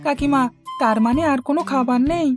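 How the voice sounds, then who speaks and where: A woman's voice: sits high, fairly quick, over music, lightly acted. A woman voicing a greedy, hungry young village boy in an eager, childlike way for a fairy-tale cartoon.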